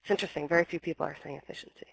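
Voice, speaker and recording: A woman's voice: low voice, rapid-fire, vocal fry, slightly rough, dry recording. A young woman with a slight cold, her voice a little scratchy, presenting a webinar talk from home over a computer mic, explaining steadily with ums and restarts.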